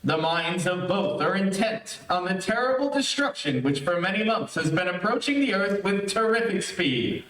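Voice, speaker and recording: A man's voice: high voice, animated, normal speed, few pauses, fairly bright tone. A man hosting in a theatrical old-time radio announcer style, formal and declamatory. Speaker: host and announcer. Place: theater stage. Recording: echoey theater, distant mic, live stream.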